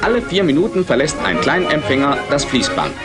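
Male vintage radio announcer, late 1940s East German advertising, declamatory, animated, higher pitched, loud music underneath. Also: brisk. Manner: promotional, declamatory. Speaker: commercial announcer. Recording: archival 1940s radio recording, music behind.

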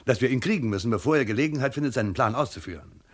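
Resolute male investigator played by a man for crime audio drama: clipped, hoarse-edged, lively pitch. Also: racing, lightly acted. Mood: determined. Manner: clipped, deductive, determined. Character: shrewd, resolute male police detective at crime scene. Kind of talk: German crime radio play acting.

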